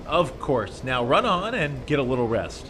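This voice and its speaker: A man's voice: medium pitch, big pitch swings, normal speed, noisy background, lightly acted. A man playing a jovial, generous academic in an audio drama, gushing and theatrical in manner. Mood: effusive, generous. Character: jovial, effusive, generous male academic doctor.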